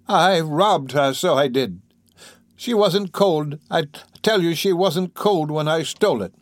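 Guy doing a dying old woman from a storybook, high pitched, slow n drawn out, feverish guilty rambling. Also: dry recording, clean studio sound, natural voice.

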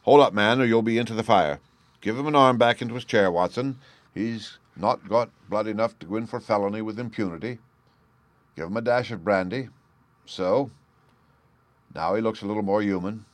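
A suave gentleman detective from a storybook, acted out by a man. He speaks precisely and knowingly, with genteel command, in a close, dry sound. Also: fairly low pitch, moderate pace, dry recording, pitched above the performer's own voice, lightly acted. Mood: cool, commanding.

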